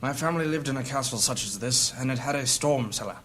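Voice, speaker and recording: An actor playing a thoughtful, level-headed male adventurer in a fantasy audio drama, measured and considered. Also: medium pitch, fairly bright tone, a little hiss or hum, close to an everyday voice. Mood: helpful musing.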